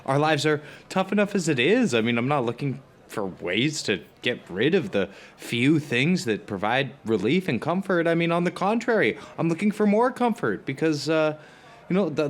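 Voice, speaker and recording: A man playing an anxious, self-deprecating dinner guest in an audio drama, talking in a halting, stammering, rambling way. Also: a little high, sing-song. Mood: defensive, plaintive. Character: anxious, self-deprecating New Yorker who loves comfort. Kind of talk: naturalistic audio drama dialogue.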